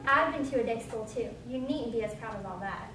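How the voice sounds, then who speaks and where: Woman as high-voiced, curious young Alice. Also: expressive, fairly quick, close to an everyday voice. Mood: indignant. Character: polite, curious, slightly indignant young girl Alice. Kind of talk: readers' theatre performance. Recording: roomy distant recording, faint noise.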